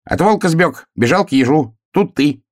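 A boisterous young hare from a children's storybook, pitched far above natural speech and swinging wildly. The hare is naive and easily astonished, blurting everything out in exclamations. The sound is close and dry. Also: male voice, animated, normal speed, dry recording. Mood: cheerful, boastful.

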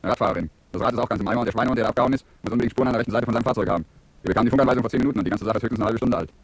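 An actor playing a brusque, matter-of-fact male police officer in a radio drama, with a clipped, blunt, official manner and a husky voice. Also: mid-range voice, rapid-fire, no room sound. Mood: brusque, urgent.

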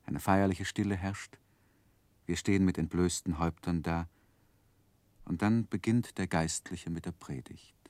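An actor playing a grown man who soberly recalls his childhood, narrating a 1960s radio play in a measured, reflective way. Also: low voice, steady tone, darkish tone, no echo. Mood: wistful, reflective.

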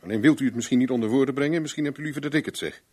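An actor playing a shrewd, self-assured private investigator in a Dutch radio play, probing in a blunt, wry, plain-spoken way. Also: male voice, mid-range voice, racing, darkish tone, slightly rough, natural voice. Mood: probing, insistent.

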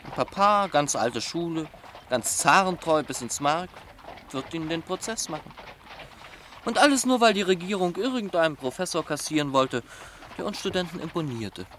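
Lively, flippant young aristocrat student. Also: male voice, fairly high pitch, moderate pace, slight background noise. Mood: chatty, candid. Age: young adult. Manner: chatty, irreverent, theatrical. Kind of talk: German radio drama acting.

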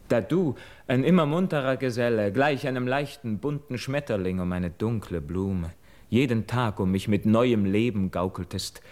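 An actor playing a young man haunted by guilt, declaiming classical verse drama in a solemn, measured way. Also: on the low side, darkish tone. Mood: pained remembrance.

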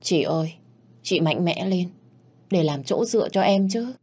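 A woman voicing a caring sixteen-year-old sister who speaks gently and earnestly. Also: lowish voice, expressive, unhurried, husky, voice pushed up. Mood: tender.

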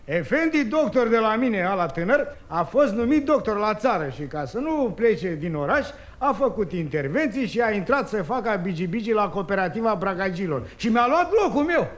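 An actor playing a jolly, sly Oriental wise fool from folk tales in a 1950s radio comedy, theatrical and sing-song. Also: male voice, high voice, big pitch swings, hardly any pauses. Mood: mock aggrieved. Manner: sing-song, theatrical, peppered with foreign words. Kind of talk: 1950s radio comedy acting, half sung. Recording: old radio archive, loud music behind.

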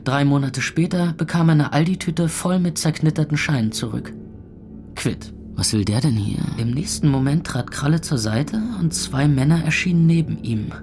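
An actor playing a hungover young club owner, narrating in a weary, sardonic, gravelly voice in an audio drama. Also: male voice, a little high, normal speed, a little mellow, soft background music, lightly acted. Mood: wary, wry. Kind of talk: first-person scripted narration in audio drama.

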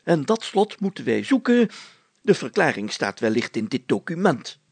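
A man voicing a pompous, excitable town mayor in a children's story, spluttering and stumbling over his words. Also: a little high, normal speed, creaky, breathy edge, no echo, exaggerated voice. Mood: stern, excited. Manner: spluttering, hesitant, exclamatory, stumbling while deciphering.

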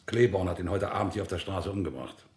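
An actor playing a hard, impatient captain who interrogates prisoners in a radio drama, curt and commanding. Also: male voice, low voice, fast talker, a little mellow, close to an everyday voice. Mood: contemptuous, cold.

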